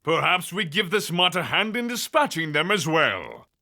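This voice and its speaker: High male voice, piercingly bright, pompous war god, theatrical, fantasy audio drama. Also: very animated, normal speed, gravelly, full character voice. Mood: haughty, amused. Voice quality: bright, forceful. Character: flamboyant, haughty god of war, doting on pets.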